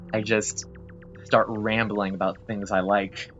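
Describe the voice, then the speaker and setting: Low-voiced man rambling over gameplay. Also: fairly bright tone, highs cut off. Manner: rambling, apologetic, hesitant with many fillers. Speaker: gameplay video host.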